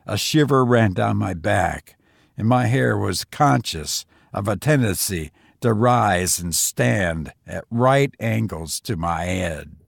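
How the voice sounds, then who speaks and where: A man's voice: on the low side, vocal fry, breathy edge, no echo. Man narrating a ghost story, dry sound. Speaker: audiobook narrator. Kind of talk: scripted narration of a ghost story, first person.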